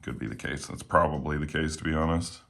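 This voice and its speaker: A man casually talking while taking apart and cleaning a knife at home, a little hoarse today, relaxed and rambling with plenty of fillers. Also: extremely low pitch, quick pace. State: slightly hoarse. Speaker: knife reviewer. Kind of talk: casual talk while working. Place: home room with windows.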